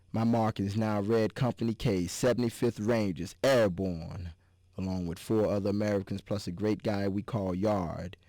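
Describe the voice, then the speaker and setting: A man's voice: fairly low pitch, dark tone, no room sound, studio quality. A man giving a dramatic reading as a wry, scarred army veteran. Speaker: reader.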